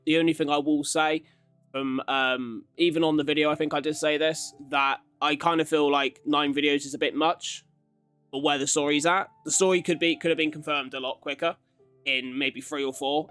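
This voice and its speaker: A man's voice: on the high side, steady tone, normal speed, fairly bright tone, smooth. A man hosting a casual ranking commentary, talking in a rambling, informal, conversational way. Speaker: YouTube reaction host. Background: background music.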